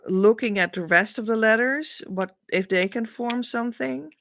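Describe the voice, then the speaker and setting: A woman with a Dutch accent, a spiritual coach thinking aloud as she reads meaning into letters, with many pauses and hesitations. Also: on the high side, a bit bright, no echo. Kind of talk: casual spiritual reading.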